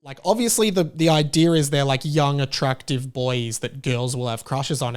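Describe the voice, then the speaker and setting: A man hosting his own podcast, chatting casually on his own in an animated, rambling way, often restarting sentences and sounding openly incredulous. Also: medium pitch, clear, resonant tone. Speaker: podcast host. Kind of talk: casual solo podcast chat.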